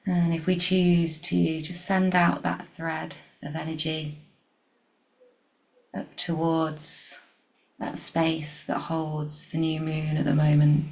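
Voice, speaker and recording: Slow, creaky-voiced woman guiding meditation. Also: sits low, low-quality recording. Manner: soothing, unhurried, gentle, with long pauses. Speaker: meditation guide. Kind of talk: guided meditation.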